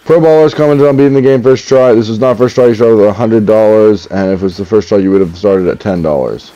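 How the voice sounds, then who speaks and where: A young man with a deep voice, reading viewer comments aloud while gaming at home, dry and a little sarcastic, often stammering as he restarts his sentences. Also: quick pace, warm tone. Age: young adult. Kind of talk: casual commentary while playing. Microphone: headset. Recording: slightly dull lo-fi audio.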